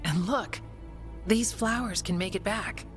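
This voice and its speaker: An actress playing a steadfast, practical warden in a fantasy video game, matter-of-fact and resolute. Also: female voice, mid-range voice, unhurried, lightly acted. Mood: reassuring. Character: steadfast, practical female warden, dutiful and reassuring. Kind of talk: video game dialogue acting. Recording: game music underneath.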